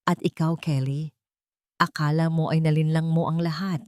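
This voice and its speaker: A woman narrator voicing a hurt, dignified bride in an audio storybook, firm, measured and accusing. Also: sits low, level tone, a little mellow, clear, resonant tone, no room sound, clean studio sound, natural voice. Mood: cold anger.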